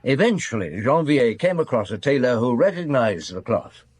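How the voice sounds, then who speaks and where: Husky mid-pitched voice, gruff senior detective, commanding, brisk questioning, radio drama. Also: male voice, sing-song, no room sound. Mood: measured, wry. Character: gruff, authoritative male police chief inspector in Paris.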